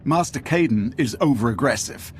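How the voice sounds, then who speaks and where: A man's voice: mid-range voice, expressive, moderate pace, a little hiss or hum, lightly acted. A man playing a stern, exasperated Imperial military commander in a video game, clipped and scornful. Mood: stern, briefing. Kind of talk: dramatic video game acting.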